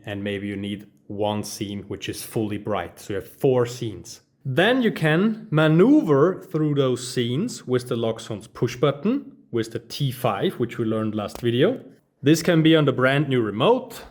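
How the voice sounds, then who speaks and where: A man's voice: medium pitch, a little mellow. A German-accented man in his thirties, a native German speaker, giving an enthusiastic, casual hands-on tutorial, filmed on a handheld phone while walking around his office. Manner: enthusiastic, casual, addresses viewers as guys. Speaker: smart home trainer. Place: office studio room, indoors. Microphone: phone camera held while walking.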